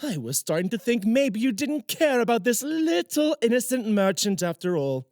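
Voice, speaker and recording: A man's voice: very high-pitched, sing-song, normal speed, darkish tone, dry recording, studio quality, heavily acted. A man playing a pompous, menacing noble sorcerer villain in a fantasy audio drama, gloating and taunting theatrically.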